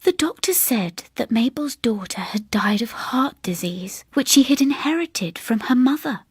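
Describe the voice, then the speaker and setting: A woman reading a ghost story as dramatic scripted narration, with hushed, suspenseful and deliberate phrasing. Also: a little high, lively intonation, breathy edge, no echo, clean studio sound. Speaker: audiobook reader.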